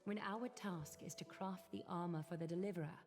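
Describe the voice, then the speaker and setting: A composed, aloof leader of great age acted by a woman for a video game. She speaks in a fairly low voice with formal, measured phrasing and a calculating cool. Long reverb surrounds her, with soft music underneath. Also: lightly acted. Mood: solemn, pensive. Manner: measured, formal, coolly eloquent. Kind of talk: scripted video game voice acting.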